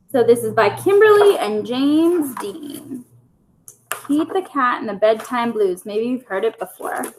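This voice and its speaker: A woman storyteller reading a picture book aloud to young children in simple, deliberate phrases. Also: a little high, fairly bright tone, a little hiss or hum, rough recording.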